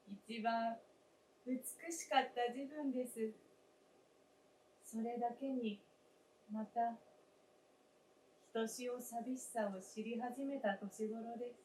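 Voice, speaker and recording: A woman playing a snow doll of a gentle, wistful young late wife in a staged radio drama, speaking softly and politely. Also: on the high side. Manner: soft, polite, unhurried, old-fashioned feminine speech. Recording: roomy stage, distant mic, faint noise.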